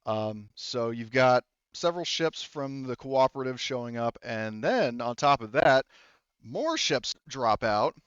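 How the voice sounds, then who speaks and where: A man's voice: mid-range voice, expressive, unhurried, fairly bright tone, smooth, dry recording, highs cut off. A man working as game master, narrating a tabletop game casually and informally, often thinking aloud.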